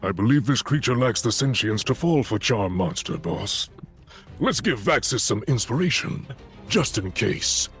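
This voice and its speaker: A man's voice: medium pitch, lively intonation, a little slow, a bit bright, soft background music. A man playing a verbose, fussy, dapper spellcaster in a fantasy audio drama, with a pompous way of choosing big words. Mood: wry, assured. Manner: pompous, polysyllabic vocabulary.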